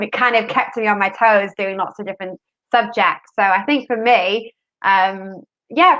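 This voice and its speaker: A woman's voice: medium pitch, fairly bright tone, dull audio. A woman hosting a university panel discussion, friendly and easygoing, with plenty of fillers.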